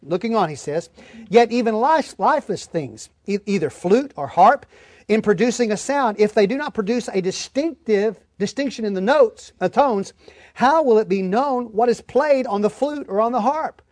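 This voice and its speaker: A man's voice: high voice, lively intonation, moderate pace, darkish tone, no echo, studio quality. A man preaching a verse-by-verse Bible lesson in an emphatic, folksy, plain-spoken way. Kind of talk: Bible-teaching sermon, verse-by-verse reading and explanation.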